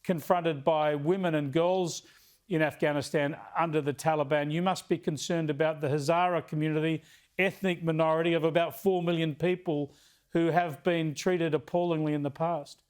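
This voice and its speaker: A man with a slightly high voice presents a news interview. He talks conversationally and with sympathy as he puts questions to his guest. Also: steady tone, moderate pace, a little mellow, smooth. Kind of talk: broadcast interview.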